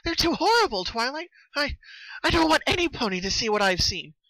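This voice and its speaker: A man acts out a young female party pony from a cartoon story, pitched very high and emotional. She is anxious and tearful, pleading and stumbling over her words, with a bright, forceful edge. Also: big pitch swings, a little fast, no room sound, heavily acted, low-quality recording. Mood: frantic, tearful. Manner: stammering, pleading, emphatic.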